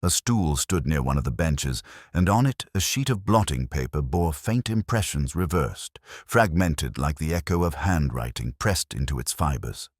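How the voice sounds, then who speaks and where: Really deep guy reading a detective story, reflective and measured, pitch moves around a lot, dry close sound. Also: lively intonation, no echo. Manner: measured, literary, reflective delivery. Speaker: narrator. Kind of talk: scripted first-person detective story narration.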